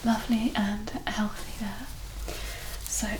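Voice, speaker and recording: A woman softly whispering a medical ASMR roleplay as a doctor, breathy, gentle and reassuring. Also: medium pitch, little pitch movement, slow pace. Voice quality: breathy, mostly whispered soft voice. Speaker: ASMR performer roleplaying a doctor giving a physical exam. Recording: roomy echo, distant mic, clear background noise.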